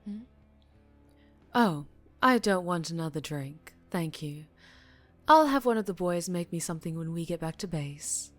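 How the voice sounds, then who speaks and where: A woman as a low, slow, menacing mafia boss. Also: animated, faint music behind. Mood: smug, watchful. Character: dangerously charming female mafia boss, menacing, teasing, in control. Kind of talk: ASMR roleplay audio drama, addressing the listener.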